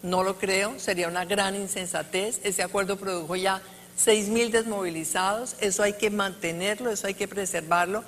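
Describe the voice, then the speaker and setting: A middle-aged woman speaking earnestly on a conference panel, with pauses between phrases, into a handheld mic on stage. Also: mid-range voice, fairly even intonation, a bit bright, slight background noise. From Colombia. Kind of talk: panel discussion answer. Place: large conference hall stage.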